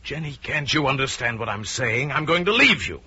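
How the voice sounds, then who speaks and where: An actor playing a married man coolly plotting murder, narrating and acting in a measured, deliberate mid-century radio crime drama style. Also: mid-range voice, lively intonation, bright, forceful tone, breathy edge, no room sound, full character voice. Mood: pleading, firm. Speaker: narrator and lead character. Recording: dull old radio recording, music underneath.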